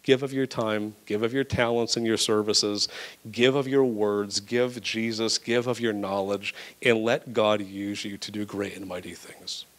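A man's voice: lowish voice, fairly even intonation, measured pace. A man preaching a church sermon, reading scripture aloud and then urging his congregation to serve others. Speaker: pastor.

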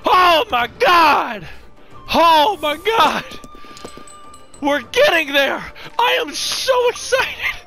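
Super high squeaky gamer voice, all over the place, hoarse and yelling at the other player, slow drawn-out words. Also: big pitch swings, faint music behind. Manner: yelling trash talk at the opponent. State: strained, worn-out voice. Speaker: gaming content creator. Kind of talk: excited gameplay commentary.

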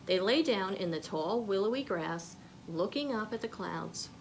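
Animated woman reading a children's storybook. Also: mid-range voice, unhurried, slight background noise, low-quality recording. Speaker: children's librarian, storytime reader. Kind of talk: picture book read aloud to children. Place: small indoor room.

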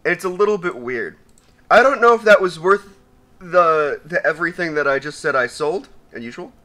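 Raspy young man, bright excitable voice. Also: on the high side, moderate pace. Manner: excitable, profane, swings between mumbling and shouting. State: raspy from sneezing. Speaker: gaming YouTuber. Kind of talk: casual commentary while unboxing.

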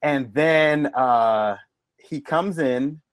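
A middle-aged man, a barber guest, chatting casually on a video call and telling stories with lively acted-out quotes. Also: a little high, extremely slow, clear, resonant tone, no room sound. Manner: animated storyteller, acts out quoted lines. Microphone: video call mic.

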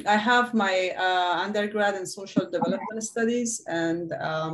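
Hesitant low-voiced Afghan woman. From Afghanistan, Iran and Pakistan. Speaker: seminar presenter. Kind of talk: informal self-introduction in an online seminar. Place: indoor room, video call.

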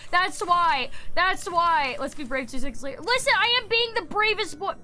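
A woman's voice: very high-pitched, animated, normal speed, pushed and bright, slight background noise. A young woman streaming a game, sick and losing her voice, chatting casually, swearing and shrieking when startled. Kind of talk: casual gameplay streaming chat. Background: faint game music.

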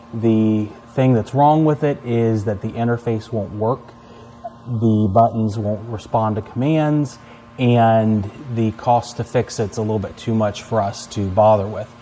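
A man's voice: on the low side, a little mellow, slight background noise. A man in his thirties talking casually to a phone camera in a large appliance warehouse, plain-spoken and practical. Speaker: appliance resale vlogger. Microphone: phone camera held close.

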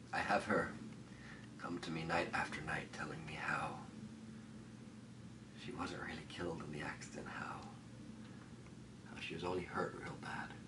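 A man acting a dramatic stage monologue as a grieving, haunted man, speaking haltingly and intensely with repeated phrases. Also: low-pitched, background noise. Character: grieving haunted man tormented by dreams of lost woman. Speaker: stage actor. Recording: echoey room, distant microphone.

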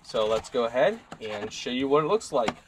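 A man's voice: mid-range voice, moderate pace, noisy background. A young man giving a casual product review at his desk, walking through the features conversationally with a few fillers. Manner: relaxed, conversational walkthrough with fillers. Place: indoor desk workspace.

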